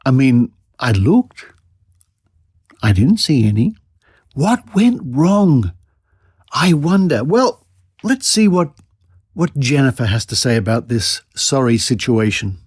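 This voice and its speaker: Sarcastic Australian man, lively pitch. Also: slow, long pauses, breathy edge, no room sound. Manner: mock-incredulous, dry sarcasm. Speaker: podcast host. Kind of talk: sarcastic commentary.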